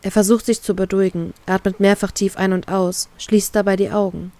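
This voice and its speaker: A woman's voice: medium pitch, flat delivery, a little fast, dark tone, dry recording. A woman reading a first-person fan fiction story aloud, voicing a heartbroken inner monologue.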